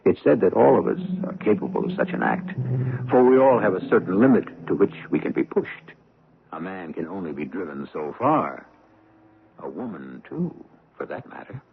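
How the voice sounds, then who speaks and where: An actor playing a sardonic, ominous storyteller who hosts a radio mystery, theatrical, measured and wry. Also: male voice, sits low, big pitch swings, gravelly, a little hiss or hum, exaggerated voice. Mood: ominous, musing. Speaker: host and narrator of a mystery radio show. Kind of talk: scripted radio mystery narration. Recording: tinny vintage radio broadcast with music.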